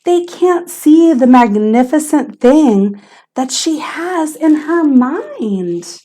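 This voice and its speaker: Slow, very animated woman reading a storybook. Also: high-pitched. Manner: theatrical, expressive, emphatic on key words. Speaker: teacher reading aloud to children. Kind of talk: children's picture book read-aloud.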